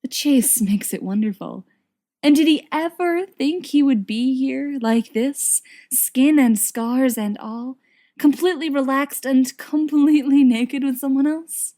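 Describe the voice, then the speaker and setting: A woman reading a story aloud as an expressive scripted narration. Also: sits high, unhurried. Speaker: audiobook reader of fan fiction.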